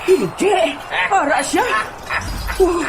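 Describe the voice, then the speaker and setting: Music runs behind a woman acting as an excitable warrior in a comic audio drama. The voice is high and swooping, full of exclamations. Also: slow, full character voice. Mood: excited. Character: excitable warrior with a glowing sword.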